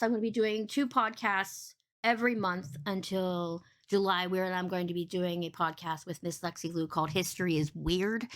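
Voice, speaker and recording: A woman hosting a casual livestream podcast, rambling cheerfully and swearing freely, with a big boomy voice. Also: fairly bright tone. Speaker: podcast host and streamer.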